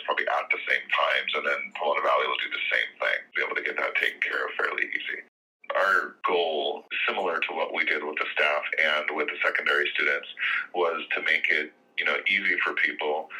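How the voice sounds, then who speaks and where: A man's voice: a bass voice, bright, forceful tone, poor audio. An American man giving informal interview answers as a school official, often dropping in "you know".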